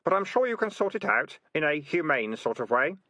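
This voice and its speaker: A man voicing a calm, sardonic young male character in a storybook, questioning in a measured, pointed, dry manner. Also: fairly high pitch, no echo, voice pushed up. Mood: cool, probing.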